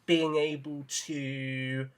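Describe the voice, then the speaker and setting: A man's voice: medium pitch, flat delivery, very slow, clear, resonant tone. A man talking casually and in detail as a podcast guest, modest about himself and keen on his creative work. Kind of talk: casual enthusiastic podcast chat.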